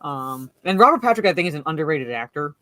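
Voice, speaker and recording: A woman with a slightly deep voice hosting a casual movie chat, rambling with lots of fillers and restarts. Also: sing-song, fast, fairly bright tone, no room sound. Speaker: podcast host.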